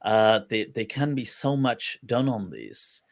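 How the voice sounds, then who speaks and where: A man's voice: fairly low pitch, sing-song, a little slow, creaky. A man hosting a casual conversation, talking in an encouraging way and often affirming his guest. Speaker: host.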